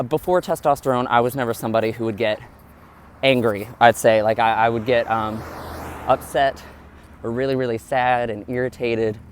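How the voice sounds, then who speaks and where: A man's voice: mid-range voice, slight background noise. A man talking candidly and earnestly to his viewers in a casual vlog monologue. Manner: earnest, open, addresses viewers directly. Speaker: vlogger.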